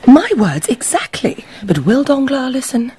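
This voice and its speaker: An actress playing an arch, gossipy high-society wife in a period radio drama, exclamatory and drawling. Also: female voice, very animated, measured pace, warm tone, breathy edge, heavily acted. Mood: scandalised. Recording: radio drama with background ambience noise.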